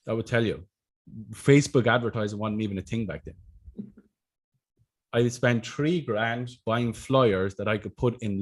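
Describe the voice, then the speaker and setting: An Irish man in his thirties, a podcast host telling his story in a casual, blunt and sweary way over a video call with a desk mic. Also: medium pitch. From Ireland. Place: home room on a video call. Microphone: desk microphone on a video call.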